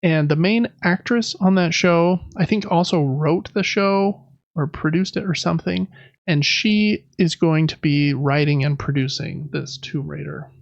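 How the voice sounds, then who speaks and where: A man's voice: a little high, steady tone, unhurried, vocal fry, no room sound, lo-fi sound. A man hosting a gaming podcast, running through news in a casual, conversational and informal way. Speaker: podcast host.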